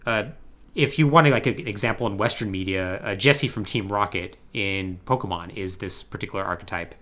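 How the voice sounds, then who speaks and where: A man's voice: on the low side, a little fast. A man co-hosting a podcast, giving a casual, comedic plot recap in an emphatic, conversational way with wry asides. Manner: animated, emphatic storytelling. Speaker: podcast co-host.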